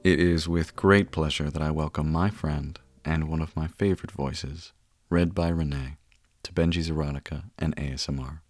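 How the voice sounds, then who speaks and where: A man hosting a podcast, giving measured introductions and interview questions. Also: extremely low pitch, steady tone, no echo. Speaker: podcast host.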